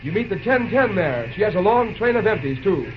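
An actor playing an earnest, plain-spoken young railroad dispatcher in an old-time radio drama. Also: male voice, high voice, sing-song, fairly quick, faint music behind. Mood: businesslike. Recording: muffled old radio recording, faint noise.

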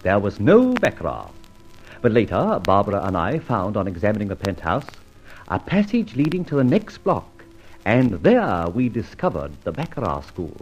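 An actor playing a suave, flippant gentleman adventurer in an old-time radio serial, with breezy old-fashioned slang. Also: fairly low pitch, wildly swooping pitch, normal speed, soft background music. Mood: brisk narration. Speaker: narrator and lead actor. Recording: muffled vintage radio recording, faint noise.

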